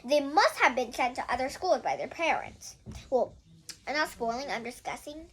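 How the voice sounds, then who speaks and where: A woman's voice: sits high, very animated, moderate pace, slight background noise. A young girl hosting a casual read-aloud of a children's book, often breaking off to explain things to viewers in chatty asides.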